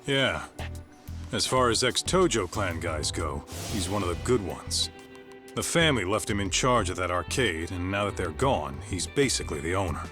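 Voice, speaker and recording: An actor voicing a blunt, streetwise ex-gangster in a video game. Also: male voice, deep voice, animated, moderate pace. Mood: gruff. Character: gruff tough male ex-yakuza in his thirties. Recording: music playing behind. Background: music.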